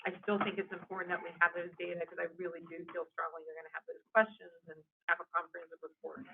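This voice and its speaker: Chair running a committee meeting, brisk and firm, thin phone-like audio, faint hiss. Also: mid-range voice, fairly even intonation, creaky. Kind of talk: presiding over a public meeting.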